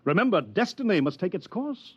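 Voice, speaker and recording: Radio-play casting: fussy older American adviser, unctuous and pompous, very animated pitch, dull vintage sound. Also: male voice, a little fast, slightly rough, exaggerated voice. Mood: persuasive, pompous. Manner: flustered stammering, wheedling, pompous. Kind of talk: 1950s radio drama acting.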